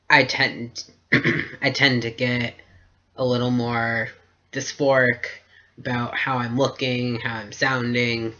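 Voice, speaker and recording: A young man speaks slowly and flatly, keeping his pitch in a narrow band while thinking aloud in a video diary. His tone is bright and slightly tense, and his manner is hesitant and reflective. The recording sounds dull and lo-fi, as if from a basic camera mic. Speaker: vlogger.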